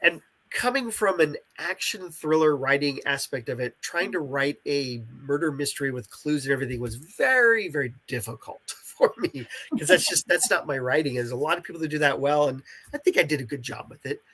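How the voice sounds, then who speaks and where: A man's voice: on the high side, expressive, brisk, hardly any pauses, pushed and bright. A man with a congested, nasal voice talking enthusiastically and explaining his creative work in a casual interview.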